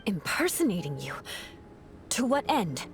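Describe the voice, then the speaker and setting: A stern, imperious lady knight from a video game. Her delivery swings theatrically in pitch, formal and sharp-tongued, over background music. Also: very animated, a little slow. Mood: accusing, stern. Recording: music under the voice.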